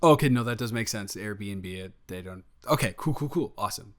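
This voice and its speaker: A man playing an excited, affectionate boyfriend on vacation in an improvised roleplay audio, rambling and stammering playfully. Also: on the low side, a little fast. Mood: awed, excited.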